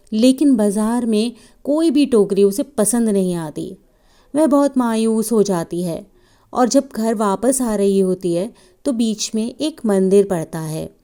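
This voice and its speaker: A woman's voice: mid-range voice, mellow. A woman narrating a scripted children's story as a storyteller.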